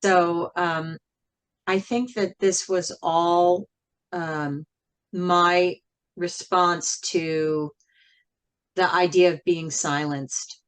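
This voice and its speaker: A woman with a slightly low voice answers as an interview guest. She speaks very slowly and reflectively, weighing her phrases and leaving frequent pauses as she goes. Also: fairly even intonation, clean tone. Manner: measured, thoughtful, with frequent pauses. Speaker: interview guest, singer and writer.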